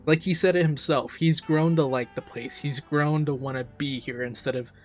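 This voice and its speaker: A man's voice: fairly high pitch, steady tone, moderate pace. A man giving casual, emphatic, enthusiastic commentary as a game reaction streamer. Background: faint game music.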